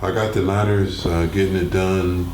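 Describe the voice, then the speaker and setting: A man co-hosting casual sports talk, offering opinions in a halting, hesitant way. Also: sits low, little pitch movement, darkish tone. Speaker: sports show co-host and analyst. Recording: roomy echo, audible background noise.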